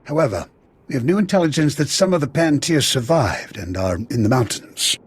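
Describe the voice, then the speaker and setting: An actor playing a dignified, earnest leader in a video game, husky and gravely formal. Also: male voice, medium pitch, sing-song, normal speed. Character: earnest, dignified male Republic leader giving briefings. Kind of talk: video game cutscene acting.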